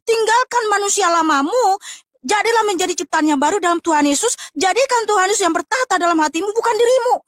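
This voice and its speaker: A woman with an Indonesian accent and a strikingly high voice, heard close and dry. She explains scripture emphatically, like a teacher laying out points in a debate. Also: animated, fast, dry recording. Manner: didactic, emphatic. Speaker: religious teacher on a panel.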